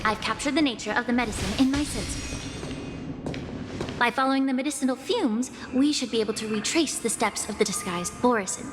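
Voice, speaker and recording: A woman's voice: fairly high pitch, brisk, natural voice. An actress playing a polite, earnest young female investigator in a video game cutscene, speaking formally and precisely. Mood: composed, focused. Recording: long reverb, loud music behind.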